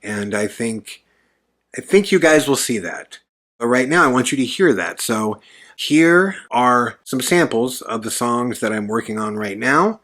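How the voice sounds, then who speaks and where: A man hosting his own podcast, talking earnestly in a casual monologue, often pausing on fillers. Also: medium pitch, animated, a bit bright. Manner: earnest, hesitant with many fillers. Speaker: podcast host.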